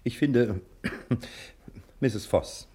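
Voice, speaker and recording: An actor playing a put-upon, self-important private detective in an old German radio crime drama, sardonic and indignant. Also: male voice, medium pitch, extremely slow, darkish tone, husky. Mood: grudging.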